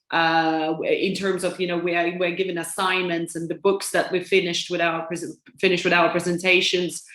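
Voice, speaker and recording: A young woman with a Lithuanian accent answers interview questions in a lowish voice, full of fillers and restarts. Her words ring out in an echoey room. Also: fairly bright tone. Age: young adult. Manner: hesitant, many fillers and restarts. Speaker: guest.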